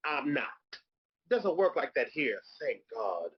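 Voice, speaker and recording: Comedy table read: male actor as sardonic, pointed straight-talker, animated, rough-edged husky voice, dull lo-fi recording. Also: medium pitch, takes it slowly, exaggerated voice. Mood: affronted. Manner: sarcastic, pointed, conversational.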